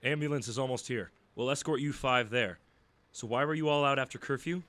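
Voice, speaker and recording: Man playing a matter-of-fact police officer, mid-pitched. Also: a little fast, fairly bright tone, clear, resonant tone, close to an everyday voice. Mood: brisk, doubtful. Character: brisk, sceptical police officer questioning youngsters. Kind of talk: scripted audio drama acting.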